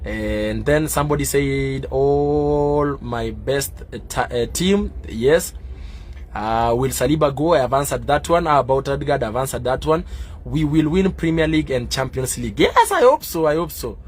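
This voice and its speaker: A man from Kenya chatting casually on a live stream as a football fan, answering viewers with many fillers and getting excitable and loud. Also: mid-range voice, moderate pace.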